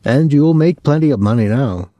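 A man's voice: mid-range voice, expressive, normal speed, mellow, dry recording, pitched above the performer's own voice, lightly acted. A man reading aloud, voicing a bitter, possessive mother near fifty who accuses and clings. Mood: fretful.

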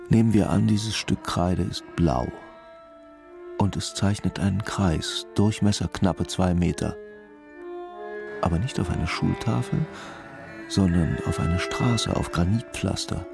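An actor playing a brooding, intuitive police commissioner in a radio crime drama, measured and pensive. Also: male voice, low voice, vocal fry, music in the background. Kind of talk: radio crime drama, reflective narration and dialogue.

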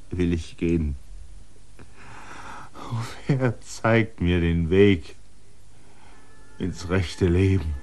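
An actor playing a weary land surveyor in a radio drama, halting and stammering, then pleading in anguish. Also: male voice, on the low side, darkish tone.

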